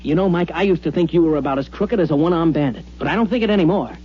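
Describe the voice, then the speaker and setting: A man's voice: on the high side, expressive, racing, husky, dull audio. An American man acting as an eager but skeptical sidekick in a swindle, wisecracking in slang in a 1950s radio drama. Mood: dryly sarcastic. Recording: band-limited, humming 1950s radio recording.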